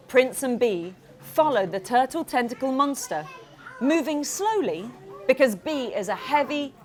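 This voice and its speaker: Animated, high-voiced woman reading to children. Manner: animated, interactive, asks the audience questions. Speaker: children's author reading own book. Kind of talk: live storybook reading to children. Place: room with an audience of children.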